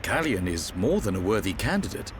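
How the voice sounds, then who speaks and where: An actor playing a thoughtful young adventurer in a video game, earnest and inquisitive. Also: male voice, on the low side, very animated, brisk, a little hiss or hum. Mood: puzzled. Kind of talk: dramatic video game cutscene acting.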